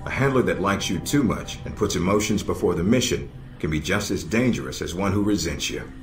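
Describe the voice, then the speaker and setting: An actor playing a stern, middle-aged American intelligence operations chief in a video game, measured and dryly sardonic. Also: male voice, low-pitched, natural voice. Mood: instructive, firm. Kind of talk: video game cutscene dialogue. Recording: echoey, music underneath.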